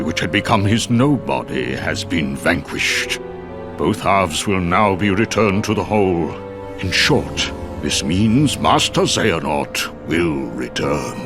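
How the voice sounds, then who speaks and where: A man playing a grave, wise old sorcerer in a video game, speaking in a solemn, formal manner. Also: low voice. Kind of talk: video game cutscene acting. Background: background music.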